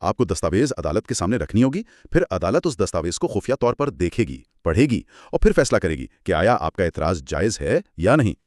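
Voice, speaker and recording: Pakistani guy teaching in a chatty way, deepish voice, really animated, dry close sound. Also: a little fast, few pauses, no room sound. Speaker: legal explainer on a two-person show. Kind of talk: conversational lesson, explaining law with examples.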